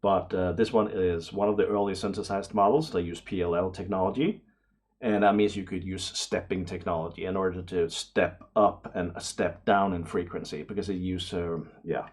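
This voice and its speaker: A man giving casual unboxing commentary to camera, excitable and prone to awestruck exclamations and hesitations. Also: low voice, moderate pace. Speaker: vlogger, radio amateur hobbyist.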